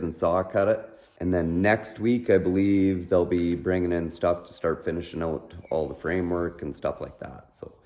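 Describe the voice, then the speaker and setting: A man with a hoarse, raspy voice chatting casually in a vlog about his shop, rambling and trailing off with fillers. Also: deep voice, a little mellow. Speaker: vlogger. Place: garage shop, partly outdoors.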